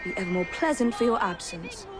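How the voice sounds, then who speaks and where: Prickly young english assassin woman, talks quick, sardonic, music under it. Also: a little high, sing-song. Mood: irritated. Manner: clipped, sardonic. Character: brisk, prickly young female assassin, dutiful and sharp-tongued. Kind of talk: video game cutscene acting. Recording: music behind the voice.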